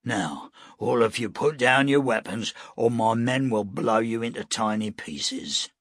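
A man voicing a loud, defiant owner of a gambling house who blusters and mocks. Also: mid-range voice, measured pace, dry recording, clean studio sound. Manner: blustering, mocking.

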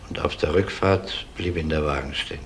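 A very low-voiced male detective in a radio crime drama. He questions in a composed, matter-of-fact, probing way, with faint noise behind him. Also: fairly quick. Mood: calm, probing.

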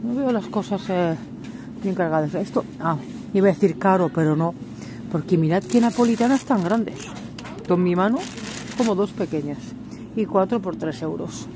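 A woman's voice: on the low side, moderate pace. A woman chatting casually while filming her supermarket shopping on a handheld camera. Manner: chatty, exclaims over prices and products. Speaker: vlogger. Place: supermarket indoors. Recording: noisy supermarket ambience.

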